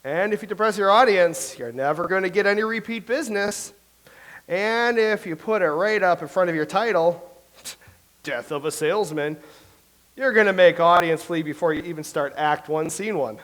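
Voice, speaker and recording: An actor playing a nervous, self-doubting Elizabethan playwright who stammers and speaks theatrically in a staged reading. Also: male voice, high-pitched, moderate pace, fairly bright tone, poor audio. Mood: pompous. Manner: theatrical, fretful, stammering soliloquy. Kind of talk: staged reading of a comedy play.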